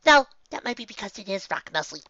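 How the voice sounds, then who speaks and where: A woman's voice: mid-range voice, very animated, fast, bright, forceful tone, dry recording, highs cut off, voice pushed up. A woman voicing a bubbly, cheerful young female cartoon pony in a bouncy, chatty way.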